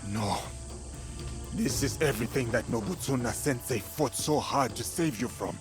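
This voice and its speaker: An actor playing an honourable, steadfast samurai in a video game, speaking in measured, formal phrases. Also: male voice, medium pitch, music in the background, close to an everyday voice. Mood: firm, pleading. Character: honourable, steadfast male samurai swordsman, calm and respectful. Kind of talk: dramatic video game acting.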